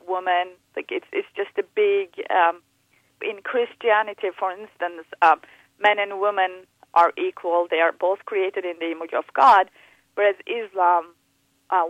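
A woman's voice: lowish voice, dry recording. Guest, female, hesitant and thoughtful, heard on a tinny phone call. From Turkey. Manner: hesitant, many fillers and restarts. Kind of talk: interview on faith and upbringing.

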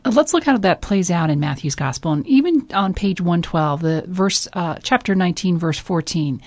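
A woman hosting an interview, who puts clear, measured questions to her guest. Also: fairly low pitch, animated, darkish tone, no echo. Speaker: radio interview host.

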